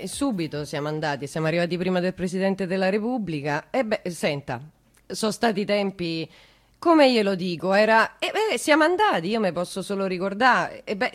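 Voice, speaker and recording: A woman's voice: medium pitch, natural voice. An actress playing a flustered, chatty woman witness in a radio drama, rambling and interrupting herself defensively. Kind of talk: naturalistic radio drama monologue.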